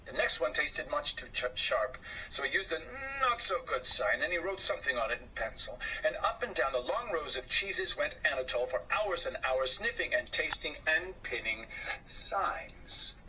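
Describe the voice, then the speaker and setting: A man reading a children's book aloud as a storyteller, expressive and dropping to a hush at times, heard on a distant mic with faint background noise. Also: on the high side, moderate pace.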